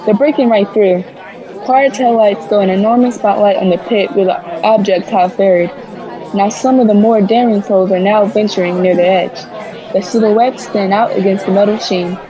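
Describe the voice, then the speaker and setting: A woman's voice: medium pitch, steady tone, normal speed, highs cut off, natural voice. A woman playing a radio news reporter in a radio drama, giving dramatic, formal live commentary from the scene. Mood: intrigued. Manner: dramatic, formal on-the-spot describing. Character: excited radio field reporter, a woman, describing a mysterious landing. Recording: busy crowd noise around the voice. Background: crowd in a public space.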